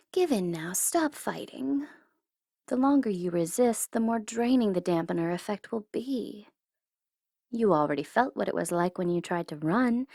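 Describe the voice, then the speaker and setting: A woman's voice: medium pitch, darkish tone, dry recording, clean studio sound. A woman playing a smug, secretly tender female supervillain mastermind in an intimate, theatrical ASMR roleplay, teasing and easily flustered. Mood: coaxing, soothing.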